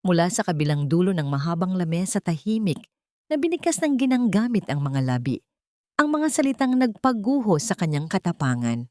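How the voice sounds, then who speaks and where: Woman storyteller doing dramatic scripted narration, kinda low voice, super dry close sound. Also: big pitch swings, brisk, mellow, no room sound.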